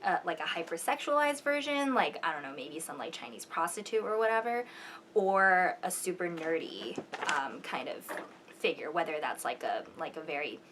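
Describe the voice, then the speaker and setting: A young woman student talking casually in an interview, halting and saying "like" often. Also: on the low side, animated, a bit bright. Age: young adult. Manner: halting, frequent "like". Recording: faint background room noise.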